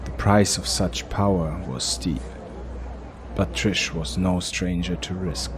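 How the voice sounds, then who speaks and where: A man narrates a dark fantasy audio drama with a very low voice and an unhurried, measured delivery. A steady hiss sits under the recording.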